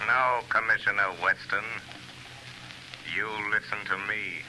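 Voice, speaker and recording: An actor playing a mysterious male vigilante who speaks calmly and commandingly, in 1930s radio drama style, heard through an old broadcast with a faint hiss. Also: on the low side, a little slow, close to an everyday voice. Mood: taunting, urgent. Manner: calm, commanding, mocking laugh.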